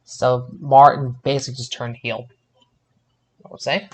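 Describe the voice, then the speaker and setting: A man co-hosting a casual wrestling review podcast in a halting way, often repeating his words. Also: mid-range voice, flat delivery. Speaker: podcast co-host.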